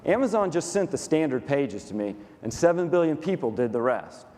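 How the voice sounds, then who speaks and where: A man with a Texan accent, demonstrating software step by step in a dry, no-nonsense tone. His voice sits a bit high for a man, and it carries a long hall echo from a conference stage. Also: big pitch swings, fairly quick. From Texas, USA. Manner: dry, matter-of-fact, methodical walkthrough. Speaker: company COO demonstrating software. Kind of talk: staged product demo presentation.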